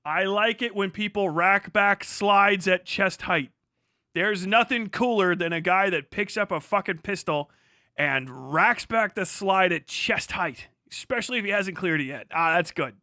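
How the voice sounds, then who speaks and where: High-pitched, bright American man, sarcastic streamer. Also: a little slow, no echo, clean studio sound. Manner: sarcastic, profane, incredulous. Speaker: livestream host reacting to a video. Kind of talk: casual reaction commentary.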